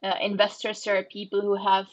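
A woman's voice: mid-range voice, monotone, moderate pace. A woman from the Republic, a startup founder answering interview questions in a thoughtful way with frequent fillers. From Czech Republic.

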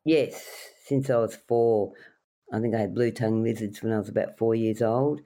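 A woman's voice: extremely low pitch, fairly even intonation, moderate pace, warm tone. A middle-aged woman from rural New South Wales with an Australian accent, telling casual anecdotes as an interview guest. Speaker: podcast guest, wildlife carer.

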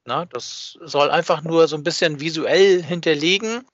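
A man's voice: fairly high pitch, normal speed, dry recording. A man talking casually and unscripted as co-host of a fan podcast. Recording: dull, dry, band-limited audio.

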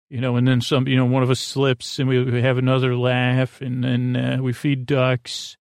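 A middle-aged man with a creaky voice hosting a bedtime podcast, rambling in a silly, halting way and drifting off mid-thought. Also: mid-range voice, no room sound, clean studio sound. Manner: meandering, halting, repeats and trails off mid-thought; silly, whimsical. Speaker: podcast host.

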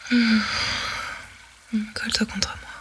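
A woman whispering a sensual roleplay to the listener in a breathy, commanding way. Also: fairly high pitch, flat delivery, takes it slowly, a little hiss or hum, poor audio. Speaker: narrator of an intimate audio roleplay.

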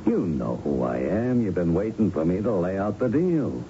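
A man's voice: big pitch swings, moderate pace, warm tone. An actor playing a slick, brash gangster middleman in a 1970s radio drama, with breezy, clipped, self-assured patter. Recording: muffled 1970s radio broadcast, faint rumbling noise.

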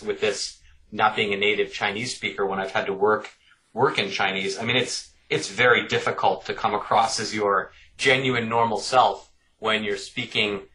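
Bright-voiced man musing in an interview. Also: on the low side, poor audio. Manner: thoughtful, repeats words while working out ideas. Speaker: author guest. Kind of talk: conversational interview answers.

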